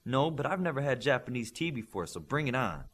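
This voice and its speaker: A man's voice: mid-range voice, sing-song, a little fast, fairly bright tone. A man voicing a curious, teasing young American superhero teammate in an audiobook, with a casual, cheeky manner.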